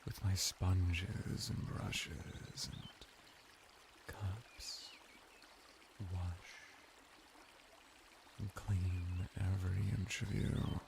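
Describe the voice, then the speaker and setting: A man's voice: sits low, flat delivery, drawn-out words, vocal fry, pauses often, very noisy, full character voice. A man playing a flirty, deferential merman bathhouse attendant in an ASMR roleplay, teasing and soothing as he talks. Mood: seductive, soothing.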